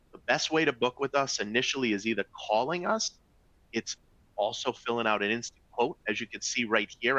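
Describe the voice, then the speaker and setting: Man, Boston accent, middle-aged, webinar presenter, chatty sales pitch, bright voice, dull dry audio. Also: dry recording. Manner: upbeat, chatty sales pitch with frequent "all right?" and "folks". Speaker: travel company executive presenting a webinar.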